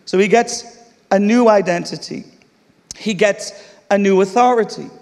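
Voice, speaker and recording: English guy preaching, highish voice, laughs while he tells the story, big reverby church. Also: unhurried, gravelly. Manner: humorous, animated storytelling with laughter. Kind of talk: sermon with storytelling. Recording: long reverb of a large hall.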